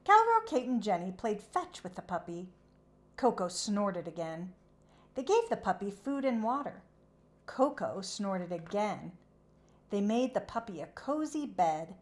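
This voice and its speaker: A woman reading a children's storybook aloud in an expressive narrating style. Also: mid-range voice, a little slow.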